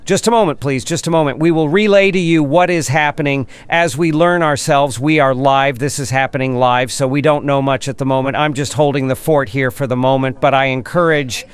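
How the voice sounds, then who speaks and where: A man's voice: medium pitch, fairly even intonation, a little fast, few pauses, clean tone, close to an everyday voice. A man playing a flustered breaking-news announcer, stalling and repeating himself during a live emergency broadcast in an audio drama. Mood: flustered, urgent.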